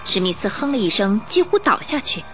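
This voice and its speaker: A woman narrating a radio drama in Mandarin, as an expressive, measured storyteller. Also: mid-range voice. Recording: music behind the voice.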